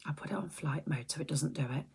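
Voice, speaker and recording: A middle-aged woman, chatting softly and rambling on a close mic for an ASMR video, often slipping into whispers. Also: low voice, quick pace, creaky, noisy background. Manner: soft-spoken, drifting into whispers, chatty and rambling. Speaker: ASMR creator. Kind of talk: soft-spoken ASMR chat.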